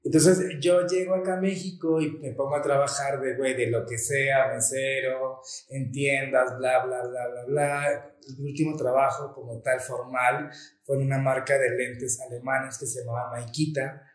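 A man's voice: mid-range voice, normal speed. A man from Colombia raised in Venezuela, with a Venezuelan accent, chatting casually as a podcast guest and acting out the people in his stories. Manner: animated storyteller, acts out quoted dialogue. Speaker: smoke shop co-owner guest. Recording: echoey room.

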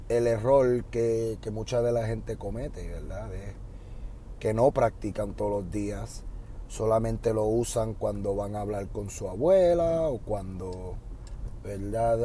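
A man with a Puerto Rican Spanish accent, a high school Spanish teacher giving casual advice on his podcast, often tagging on "¿verdad?" and pausing with "eh". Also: a little hiss or hum. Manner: relaxed, fills with "¿verdad?" and "eh".

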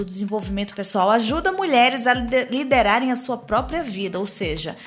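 A woman's voice: fairly high pitch, fairly bright tone. A woman with a Brazilian Portuguese accent hosting a podcast, introducing her guest with enthusiasm and chatting casually. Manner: enthusiastic, upbeat. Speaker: podcast host. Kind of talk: podcast interview, scripted intro. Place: São Paulo.

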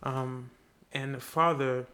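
A man's voice: mid-range voice, steady tone, slow pace, pushed and bright. A young man from Haiti, a podcast guest, telling a story earnestly in casual conversation and swearing freely.

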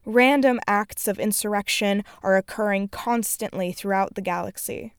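A woman in her twenties reading a scripted personal reflection in an earnest, measured, solemn way. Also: mid-range voice, clear, resonant tone, dry recording, clean studio sound. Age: 20s.

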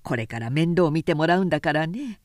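A woman reader voicing an older, brisk madam of a pleasure house in an audiobook, worldly and matter-of-fact. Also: low-pitched, expressive, no room sound, studio quality. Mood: coaxing.

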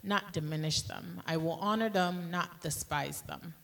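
Woman reading scripture slowly. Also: fairly low pitch, creaky, a bit bright. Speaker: scripture reader.

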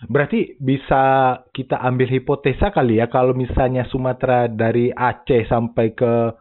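A man's voice: mid-range voice, flat delivery, moderate pace. A man hosting a podcast in casual chat, listening closely and dropping in frequent short affirmations. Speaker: podcast host. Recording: tinny phone line.

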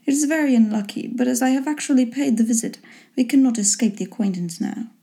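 A woman voicing a dry, teasing father in a storybook reading, in a measured, mock-formal manner. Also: on the high side, mellow, natural voice. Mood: mock regret. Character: dry, teasing, unruffled father of grown daughters.

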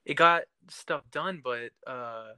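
A man's voice: medium pitch, slow pace, sharp tone, clean tone, no echo. A young man co-hosting a podcast, talking about television in an informal, conversational way. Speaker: podcast co-host. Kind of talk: casual TV discussion.

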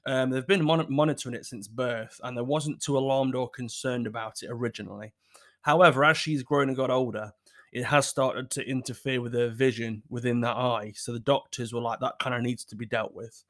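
A man's voice: medium pitch, fairly even intonation, a little fast, fairly bright tone. A man in his thirties from England hosting his own podcast, talking casually in a rambling, apologetic way with a scratchy, sore throat. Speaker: podcast host. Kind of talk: casual solo podcast chat.

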